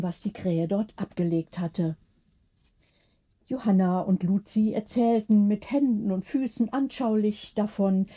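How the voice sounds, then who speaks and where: Slow, mellow woman narrating a story. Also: mid-range voice. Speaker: audiobook narrator. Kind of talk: scripted bedtime story narration.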